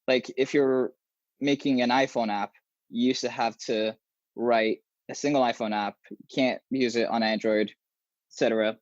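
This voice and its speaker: A young man whose first language is Arabic, a software engineer talking animatedly and casually as an interview guest over a video call from a small room at home. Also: mid-range voice, normal speed, dry recording. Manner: animated, gestures a lot, many restarts. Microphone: earbuds on a video call. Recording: dull, slightly lo-fi video call audio.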